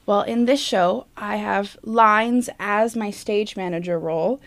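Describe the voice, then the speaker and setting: A young woman speaks casually as a stage manager being interviewed. Her voice is mid-range with a strong creaky fry. The talk is informal and unscripted. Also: medium pitch, fairly even intonation, measured pace, fairly bright tone.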